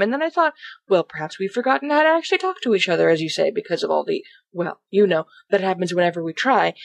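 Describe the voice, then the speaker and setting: A woman playing an excitable young man, reading his letter aloud in a rambling, sardonic, dramatic manner. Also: lowish voice, dry recording, rough recording. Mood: self-mocking.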